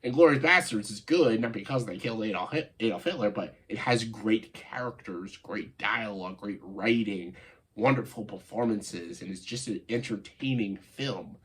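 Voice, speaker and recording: A man with a stuffed-up, nasal voice from a cold, giving casual, opinionated video commentary in a halting way, stressing key words and often saying "like". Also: lowish voice, a bit bright, slightly rough. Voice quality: congested, nasal voice. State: congested, stuffed-up nose after a cold. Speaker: video host, film commentator.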